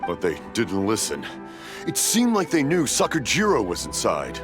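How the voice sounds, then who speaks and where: A man's voice: on the low side, huge pitch swings, normal speed. An actor playing a hard-of-hearing old father, fretful and apologetic, in a video game. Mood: worried. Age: older. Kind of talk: English video game voice acting. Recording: music under the voice.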